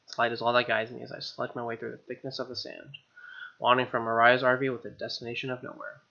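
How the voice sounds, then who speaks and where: A male let's play host reads story text aloud and drops in wry, casual comments. His voice is bright and forceful with plenty of fry, on a somewhat dull, band-limited recording. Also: medium pitch, level tone, fast talker.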